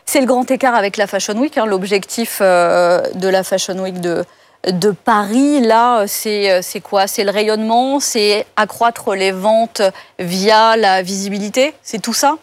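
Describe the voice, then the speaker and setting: Intervieweuse, voix moyenne, questions directes et relances brèves. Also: female voice, medium pitch, a little slow. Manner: brief backchannels, probing questions.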